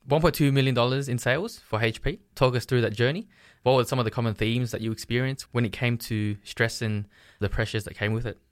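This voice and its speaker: A man hosting an interview, asking questions in a halting way with restarts. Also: mid-range voice, steady tone, no room sound, clean studio sound. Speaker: podcast host.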